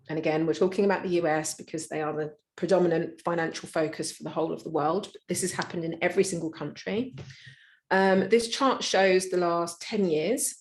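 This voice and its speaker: A middle-aged woman talks an audience through her slides. Her voice sits on the low side, and her delivery stays conversational, full of small fillers and restarts. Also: darkish tone. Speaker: presenter. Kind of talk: live slide presentation.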